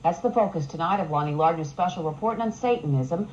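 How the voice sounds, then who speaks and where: Female TV anchor, news introduction, lower pitch, lo-fi sound, slight background noise. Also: expressive, fast talker. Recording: dull old TV broadcast, faint noise.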